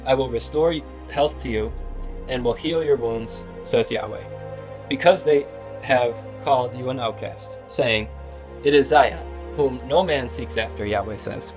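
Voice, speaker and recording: A man reads scripture aloud as a Bible reader. His pitch sits in the middle range for a male voice, and music plays clearly behind him. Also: unhurried, poor audio. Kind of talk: scripture reading.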